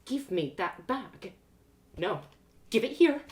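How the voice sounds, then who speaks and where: A woman's voice: mid-range voice, sing-song, takes it slowly, a little mellow. A woman voicing an anxious, domineering village mother in a fantasy story, scolding and insistent. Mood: angry.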